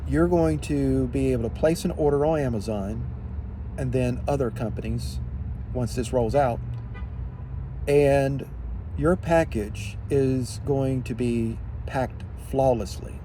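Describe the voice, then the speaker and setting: A man delivers an off-the-cuff opinion monologue at a slow, halting pace. His voice is mellow and mid-pitched, and he repeats phrases for emphasis. There is faint vehicle and outdoor noise behind him. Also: low-quality recording. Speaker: vlogger giving opinion.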